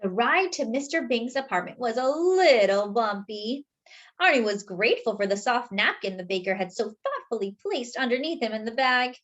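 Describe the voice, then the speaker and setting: Animated high-voiced woman reading to children. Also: normal speed, highs cut off. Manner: expressive, explaining pictures as to young children. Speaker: children's storyteller. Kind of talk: animated picture-book read-aloud with asides.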